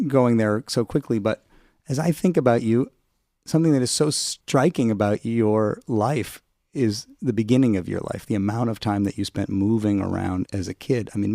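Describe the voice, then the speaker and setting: Gravelly low-voiced male interviewer. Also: a little mellow, no room sound, studio quality. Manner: thoughtful, probing questions. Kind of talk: radio interview.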